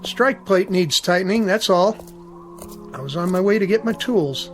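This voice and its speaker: Plain-spoken caretaker for radio drama: very high-pitched for a man, casual, friendly. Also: animated, normal speed, faint music behind. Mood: easygoing. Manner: casual, folksy, easygoing.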